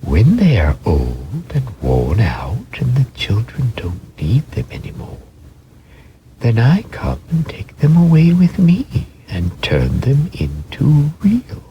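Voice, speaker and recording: A man voicing a kindly female nursery fairy in a storybook reading, with a breathy half-whisper and a slow, tender, reassuring manner. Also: medium pitch, wildly swooping pitch, muffled recording, slight background noise, pitched above the performer's own voice, exaggerated voice.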